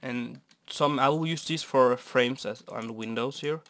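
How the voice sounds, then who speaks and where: Young man, raspy from a cold. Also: medium pitch, unhurried, slight background noise. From Norway. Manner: rambling, easygoing, many fillers. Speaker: Minecraft builder. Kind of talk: casual gameplay commentary. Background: soft guitar music.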